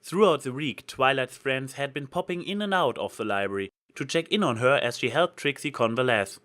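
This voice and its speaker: A man narrates a piece of fantasy fiction. His voice sits at an average male pitch. He reads in a dramatic audiobook style, as a storyteller. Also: animated, brisk, fairly bright tone.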